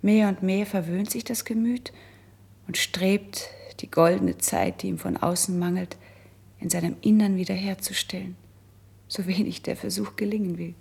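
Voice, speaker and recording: A very husky, breathy female voice with a creaky edge plays a composed noble princess. She delivers elevated verse deliberately, with gentle wistfulness and soft admonishment, in classic radio-play style. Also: mid-range voice, steady tone. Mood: tender admonishing.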